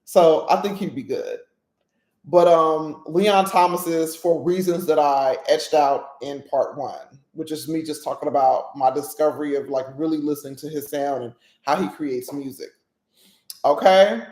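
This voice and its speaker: A woman's voice: deep voice, unhurried, a little mellow. Emphatic woman podcaster in a roomy space. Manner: emphatic, keeps checking in with listeners; playful, laughs at her own jokes. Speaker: podcast host. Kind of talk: casual solo podcast monologue.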